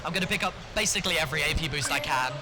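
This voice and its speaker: A young man with a slightly hoarse voice from a cold, casually explaining his speedrun strategy as he plays. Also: fairly high pitch, rapid-fire, poor audio. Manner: casual, explanatory, steady running walkthrough. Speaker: speedrunner commentating his run. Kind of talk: live gameplay commentary. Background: loud game music.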